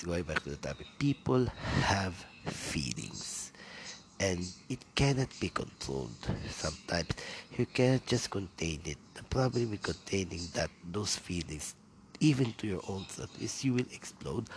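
Deep gravelly Filipino man, noisy background. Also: very animated, moderate pace. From Philippines. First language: Tagalog. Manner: rambling, with frequent fillers and restarts. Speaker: podcast host. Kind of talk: solo podcast talk.

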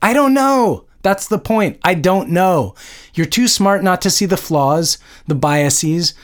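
A man's voice: fairly high pitch, animated, moderate pace, natural voice. A man voicing an indignant, combative woman scientist. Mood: anguished, demanding. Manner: blunt, exasperated, emphatic.

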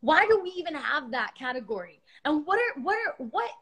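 High-voiced, animated woman from Miami. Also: fairly quick. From Miami, United States. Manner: animated, exasperated storytelling with frequent swearing. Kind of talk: casual chat.

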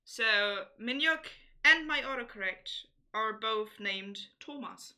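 A woman's voice: a little high, animated, slow, bright, forceful tone. A woman co-hosting a podcast, chatting casually and reading listener answers aloud. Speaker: podcast co-host. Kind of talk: casual chat.

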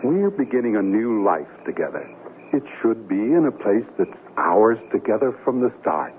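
A man's voice: lowish voice, big pitch swings, normal speed. A man playing an easygoing, romantic middle-aged newlywed husband who jokes breezily in a radio comedy. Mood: cheerful, reassuring. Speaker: actor. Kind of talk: 1970s radio comedy acting. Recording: narrowband 1970s radio recording, faint hiss.

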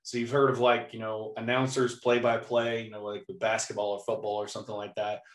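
A hoarse man giving an informal Bible teaching in a relaxed, chatty way, often clearing his throat. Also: lowish voice, brisk. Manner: relaxed, chatty, with light laughs. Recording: roomy echo.

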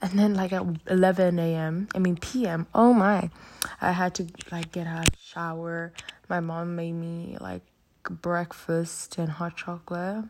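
Slow-talking Ugandan schoolgirl with American-sounding accent. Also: fairly low pitch, level tone, darkish tone. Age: about 12 to 13, grade seven. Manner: chatty, giggly, goes off on tangents. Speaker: vlogger. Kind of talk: casual rambling chat to camera. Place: school hostel room.

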